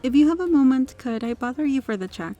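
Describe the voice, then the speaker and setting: A woman's voice: high-pitched, lively intonation, fast talker, mellow, highs cut off. A woman playing a kind, protective restaurant regular in an ASMR roleplay, speaking in a polite, articulate, reassuring way. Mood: friendly, reassuring. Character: kind, well-spoken female restaurant regular, firmly protective. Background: music.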